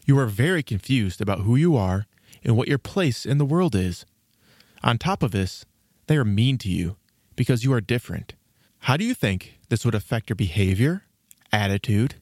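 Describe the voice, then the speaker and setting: A man's voice: fairly low pitch, a little mellow, no room sound, clean studio sound. A man hosting and narrating a scripted children's storybook programme in a theatrical storytelling manner. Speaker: host and narrator.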